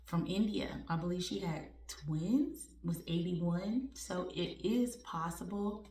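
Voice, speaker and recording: Low-voiced woman in her 40s, chatting open and candid to her viewers, laughs at herself, kinda far off in an echoey room. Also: warm tone. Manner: candid, conversational, laughs at herself, uses y'all. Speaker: vlogger. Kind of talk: personal heartfelt talk to viewers.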